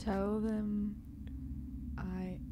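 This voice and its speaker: A woman playing a tabletop game, improvising casually and giggly, in halting bursts, with noise behind her. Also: mid-range voice, level tone.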